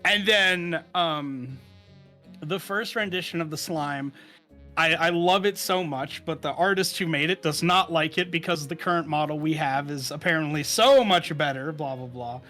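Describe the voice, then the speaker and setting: Bright, giggly young man. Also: fairly high pitch, moderate pace, faint music behind. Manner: giggly, rambling with fillers. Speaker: VTuber guest. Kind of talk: casual interview chat.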